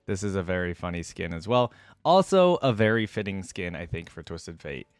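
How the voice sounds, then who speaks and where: A man giving casual reaction commentary as a video host, informal and thinking out loud as he goes. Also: low voice, sing-song, normal speed, clear, resonant tone, dry recording, clean studio sound.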